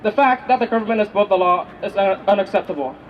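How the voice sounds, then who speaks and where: High-pitched man giving a formal speech. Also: quick pace. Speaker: rally speaker for a youth group. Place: outdoor city street rally. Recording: distant, dull outdoor recording, faint noise.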